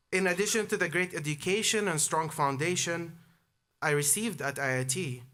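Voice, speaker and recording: Guy in his 30s from jordan doing a graduation speech, bright forceful voice, kinda high. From Amman, Jordan. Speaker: commencement speaker, alumnus. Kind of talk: prepared commencement speech telling a personal story.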